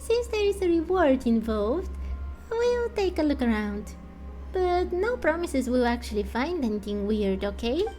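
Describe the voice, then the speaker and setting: Small childlike game fairy played by a woman: very high voice, excitable, doubting, always questioning. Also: a little slow, faint music behind. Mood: skeptical. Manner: third-person self-reference, exclaiming, questioning.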